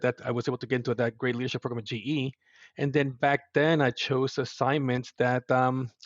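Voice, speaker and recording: A middle-aged man with a Puerto Rican accent talks as a podcast guest, earnest and reflective, often restarting his sentences. His voice sits at an ordinary pitch. The audio is dry and slightly muffled. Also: dry recording. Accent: Puerto Rican Spanish. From Puerto Rico. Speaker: guest, service executive. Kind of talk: podcast interview.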